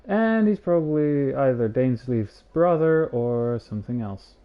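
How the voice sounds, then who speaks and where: A man's voice: medium pitch, expressive, unhurried, clean tone. A man streaming a game and giving casual live commentary as he plays. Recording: slightly dull stream mic.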